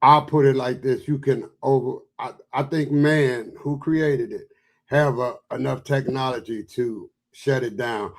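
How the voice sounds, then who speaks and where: A man's voice: mid-range voice, steady tone, unhurried. A man on a discussion panel, talking in an emphatic, rambling way and insisting on being heard.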